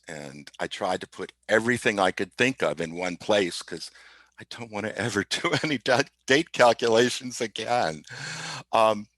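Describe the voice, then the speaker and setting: A man explaining software informally as an interview guest, methodical and somewhat halting in his delivery. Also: on the low side, no room sound. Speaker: podcast guest, IT specialist. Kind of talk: informal software demo talk.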